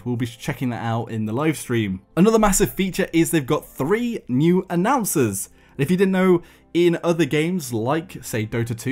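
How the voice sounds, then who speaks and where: Guy doing casual gaming breakdown, chatty explainer type, mid pitched voice, music in the back. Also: a little mellow. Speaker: gaming video host. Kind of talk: casual patch breakdown commentary. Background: background music.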